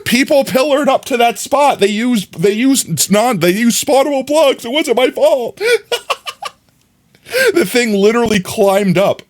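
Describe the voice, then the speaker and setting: A man's voice: extremely high pitch, lively intonation, measured pace. A young man streaming live, chatting casually to his viewers with a slightly nasal voice, rambling and often giggling.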